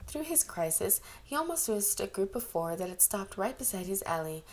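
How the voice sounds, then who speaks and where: A woman's voice: mid-range voice, big pitch swings, normal speed, a little hiss or hum. A woman narrating a story as an amateur reader, expressively acting out the dialogue. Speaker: amateur audiobook reader of fan fiction. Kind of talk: scripted story narration with character voices.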